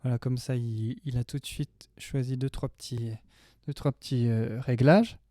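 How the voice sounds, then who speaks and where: Chatty guy in his 30s doing a music software tutorial, soft mellow tone, pretty dry sound. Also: medium pitch, steady tone, normal speed, dry recording. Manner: informal, chatty, slangy. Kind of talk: casual tutorial talk. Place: small home studio indoors.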